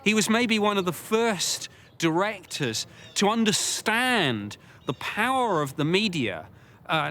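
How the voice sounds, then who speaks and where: A man's voice: high-pitched, sharp tone, a little hiss or hum. A man giving emphatic, dramatic commentary as a storyteller in a documentary interview.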